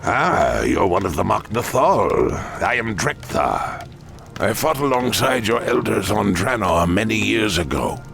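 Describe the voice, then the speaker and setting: An actor playing an elderly orc shaman in a video game, measured and courteous in manner. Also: lowish voice, very animated, creaky, a bit bright, soft background music, exaggerated voice. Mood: wise, businesslike. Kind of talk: video game cutscene acting.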